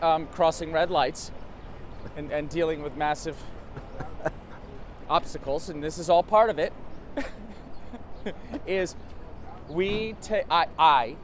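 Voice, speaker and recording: Chatty host, a man with a high voice, upbeat, talking outdoors with light traffic behind. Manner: upbeat, chatty, self-correcting. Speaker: show host, interviewer. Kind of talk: casual interview. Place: outdoors in a city, in a vehicle.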